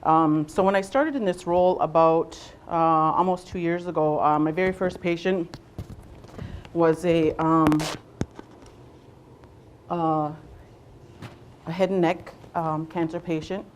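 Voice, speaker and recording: A young adult woman from Southwestern Ontario leading a workshop, speaking loudly to a room without a microphone and often pausing on "um" and "uh". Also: fairly low pitch, a little hiss or hum. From Southwestern Ontario, Canada. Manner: loud voice, unamplified, frequent ums and uhs. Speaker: workshop facilitator, nurse navigator. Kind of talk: presentation to a workshop audience.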